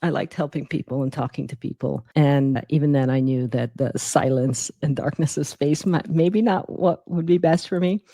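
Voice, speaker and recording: A woman's voice: sits low, normal speed, vocal fry, few pauses, mellow, no room sound. Thoughtful middle-aged woman with dry, close sound. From born in Guyana, raised in the Bronx from age seven. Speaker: interview guest, emergency physician. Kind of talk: reflective personal storytelling in an interview.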